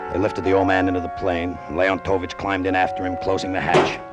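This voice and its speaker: An actor plays a hard-boiled American male pilot, haunted and greedy. His voice is low and his delivery clipped and brooding. It is vintage radio drama, with music playing underneath. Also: flat delivery, brisk, lightly acted. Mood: keyed up. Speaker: actor narrating in character. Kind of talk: old-time radio drama acting.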